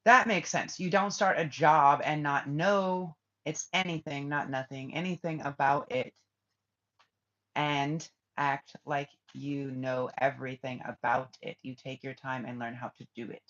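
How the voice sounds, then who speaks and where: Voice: mid-range voice, fairly even intonation, unhurried, a bit bright. A spiritual reader talking loosely on a live stream, looping through half-finished thoughts before landing on blunt, profane remarks to the chat. The audio is dull and lo-fi, like a muffled stream. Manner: rambling, blunt and profane, answering viewer comments; trails off and restarts often.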